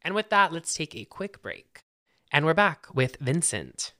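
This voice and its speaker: A man's voice: a little high, big pitch swings, measured pace, bright, forceful tone. A man hosting an interview podcast, asking curious, thoughtful questions. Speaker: podcast host.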